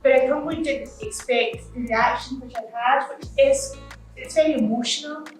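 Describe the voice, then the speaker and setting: A woman's voice: high-pitched, moderate pace. Earnest woman speaking in an echoey hall. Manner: earnest, emphatic, repeating phrases. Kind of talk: audience response at a panel Q&A. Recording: very echoey hall, distant mic, faint music.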